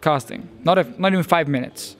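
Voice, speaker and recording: A man's voice: on the high side, a little fast. A young man with a Danish accent, an esports caster answering interview questions in an earnest, thoughtful way. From Denmark. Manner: earnest, thoughtful, with frequent restarts and fillers.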